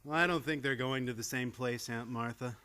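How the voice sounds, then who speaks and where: A man's voice: medium pitch, brisk, bright, forceful tone, clean tone, close to an everyday voice. A man acting a flustered nephew who nervously placates his eccentric family in a radio play comedy. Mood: regretful.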